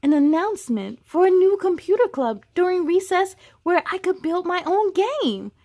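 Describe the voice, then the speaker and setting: A woman reading a storybook aloud as a children's host, animated and speaking directly to young listeners. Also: very high-pitched, moderate pace. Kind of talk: expressive storybook read-aloud with chatty asides to children.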